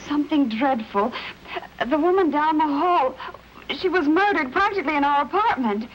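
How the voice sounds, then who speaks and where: Casting: inquisitive young wife-detective, breathless and chatty, very high voice, dull old radio sound. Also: female voice, expressive, normal speed. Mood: agitated, chatty. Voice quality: high-pitched. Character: flustered, inquisitive young wife turned amateur sleuth. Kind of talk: old-time radio mystery acting. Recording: muffled old radio broadcast, music.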